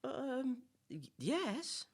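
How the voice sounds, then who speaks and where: Casting: male actor as nervous, deferential podcast presenter for comic audio drama, lively swooping delivery, eager to please. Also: very high voice, extremely slow, slightly rough, exaggerated voice. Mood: nervously placating. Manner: hesitant, apologetic, nervously deferential. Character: flustered, polite, eager-to-please male celebrity podcast host. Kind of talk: comic audio play acting.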